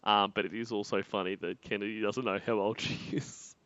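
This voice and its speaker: A man's voice: fairly low pitch, fairly even intonation, brisk, fairly bright tone, no room sound. A man cracking jokes and laughing often as co-host of a stream reaction. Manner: mocking, laughs a lot. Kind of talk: casual banter. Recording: dull, dry home mic.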